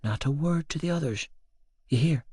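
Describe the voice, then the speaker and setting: A man voicing a frail, tender but firm old great-grandmother in an audiobook, speaking softly and affectionately. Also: mid-range voice, no echo, close to an everyday voice. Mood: confiding, gently firm. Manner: hushed, slow, affectionate. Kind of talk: audiobook character dialogue.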